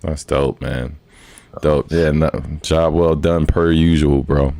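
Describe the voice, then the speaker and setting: A man's voice: very deep voice, fairly even intonation, slow, warm tone, no echo. A man hosting a live stream, chatting in a laid-back, slangy way. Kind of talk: casual live stream chat.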